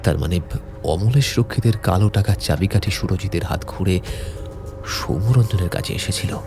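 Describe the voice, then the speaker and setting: An actor playing an anxious, loyal young friend in an audio drama, speaking in an earnest, pleading way. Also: male voice, sits low, normal speed, music in the background. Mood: earnest, urgent. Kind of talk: audio story acting.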